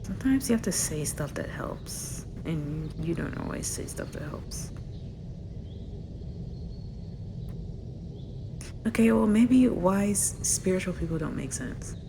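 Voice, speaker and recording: A woman's voice: on the low side, expressive, normal speed, creaky, hardly any pauses, noisy background. A woman playing a sulky, insecure teenage dragon girl in a hesitant, rambling ASMR roleplay. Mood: exasperated. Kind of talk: ASMR roleplay, naturalistic one-sided dialogue.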